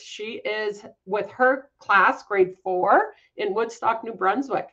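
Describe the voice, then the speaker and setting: A woman's voice: mid-range voice, fairly bright tone, highs cut off. A woman in her fifties, upbeat and welcoming, hosting a live online class event from her living room over a webcam. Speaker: host. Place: home living room with large windows. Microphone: video call webcam.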